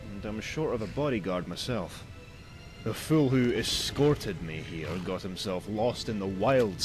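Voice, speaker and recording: A man's voice: lowish voice, lightly acted. A man playing a weary, wry and rambling wagon merchant in a fantasy video game.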